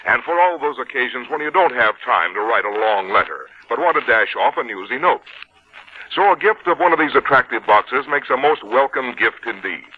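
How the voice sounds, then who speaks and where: A man's voice: mid-range voice, breathy edge. A man doing formal, polished, scripted 1950s radio commercial announcing. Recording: muffled 1950s radio recording.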